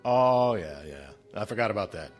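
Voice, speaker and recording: An American actor playing an easygoing, puzzled office colleague in a naturalistic drama, casual, hesitant and given to banter. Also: male voice, fairly low pitch, animated, normal speed, soft background music.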